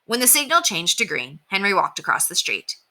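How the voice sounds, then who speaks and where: A woman's voice: on the low side, sing-song, fairly quick, pushed and bright. A woman reading a children's chapter book aloud as a storyteller, in scripted narration.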